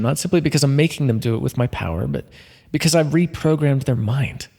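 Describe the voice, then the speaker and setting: Man playing a smug, husky supervillain in cavernous reverb. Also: mid-range voice, a little mellow. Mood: gloating, pleased. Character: smug, playful male minor supervillain mind controller, hypnotic and gloating. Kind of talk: ASMR roleplay monologue spoken to the listener. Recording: long reverberant echo.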